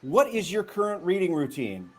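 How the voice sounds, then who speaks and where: A man's voice: high voice, very animated, normal speed. Chatty male interviewer. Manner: enthusiastic, lots of fillers. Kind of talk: casual chat.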